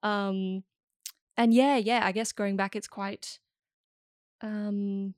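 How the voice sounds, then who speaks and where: A woman's voice: medium pitch, fairly even intonation, slow pace, darkish tone, smooth, no room sound, studio quality. A young woman in her early twenties, a musician guest in a casual interview, friendly and giggly with lots of fillers. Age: early 20s.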